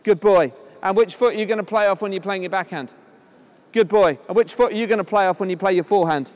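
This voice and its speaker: A man coaching children at tennis drills, giving brisk encouraging instructions and projecting his voice loudly. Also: sits high. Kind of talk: on-court coaching demonstration. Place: indoor tennis hall at a conference. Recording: echoey hall, voice from a distance.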